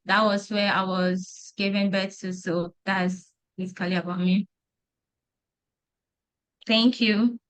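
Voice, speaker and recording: Ghanaian woman, smooth even voice. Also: medium pitch, slow, pauses often, no echo, poor audio. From Ghana, Ashanti region. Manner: hesitant self-introduction with fillers. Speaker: architecture student.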